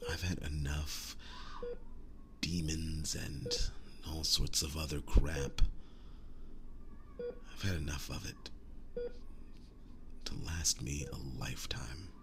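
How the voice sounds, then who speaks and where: A man playing a protective, world-weary young werewolf boyfriend in an intimate ASMR roleplay, speaking in a breathy, halting hush. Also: fairly even intonation, background noise. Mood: weary, reluctant. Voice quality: breathy, hushed, very low voice. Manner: halting, slow, soft-spoken with long pauses.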